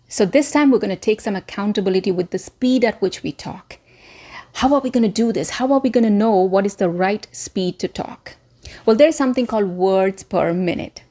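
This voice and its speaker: A woman's voice: mid-range voice, darkish tone. A woman hosting a scripted instructional video like a trained presenter, articulate and emphatic, posing rhetorical questions. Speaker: former media presenter and voice coach hosting tutorial.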